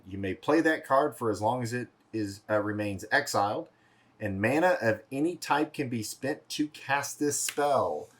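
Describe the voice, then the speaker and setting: Relaxed man hosting a card game chat. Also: mid-range voice. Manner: relaxed, jokey, explains his own cards. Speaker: host and player. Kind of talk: casual chat.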